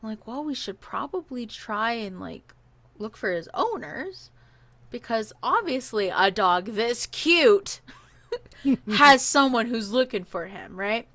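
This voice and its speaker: A woman with a fairly high voice, recorded close and dry. She tells a personal story casually, in a chatty, animated way, slipping into little bits of acted-out dialogue. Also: slow, no echo. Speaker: podcast host telling a personal story.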